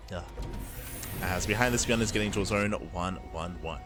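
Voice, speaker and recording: A man's voice: sits low, moderate pace. A man doing live esports commentary in an analytical, explanatory way, often repeating phrases for emphasis. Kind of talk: live StarCraft match commentary. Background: background music.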